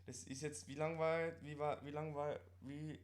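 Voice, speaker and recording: A man's voice: on the high side, little pitch movement, normal speed. A man with an Austrian accent chatting casually as co-host of a live stream, in colloquial dialect and often repeating words.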